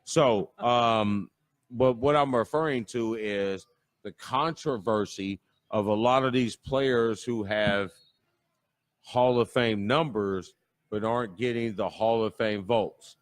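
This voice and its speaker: A man's voice: fairly low pitch, slow, no echo. A middle-aged man with a Midwestern American accent, co-hosting a live sports talk show in a bar, joking and rambling with lots of fillers. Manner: jokey, rambling, with many fillers. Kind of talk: casual live sports chat. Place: bar patio.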